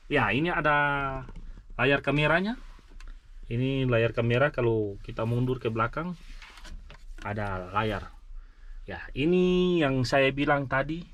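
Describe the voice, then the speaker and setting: A man presenting a vehicle walkaround vlog, explaining things enthusiastically and speaking directly to his viewers. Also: medium pitch. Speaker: vlogger, mine vehicle driver. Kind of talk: vlog truck walkaround and review.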